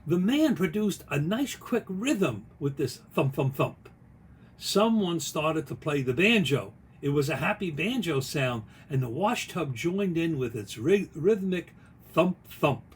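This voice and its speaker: A man reads a picture book aloud at an unhurried pace, in a fairly high voice that swings widely in pitch. The delivery is folksy and expressive, with little spoken asides on the story. There is some noise in the recording. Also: husky. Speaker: author reading their own picture book aloud.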